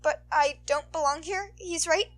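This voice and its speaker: A woman's voice: very high voice, measured pace, pitched above the performer's own voice. A woman voicing a nervous, earnest teenage boy, a polite hero fan who rambles eagerly. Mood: unsure.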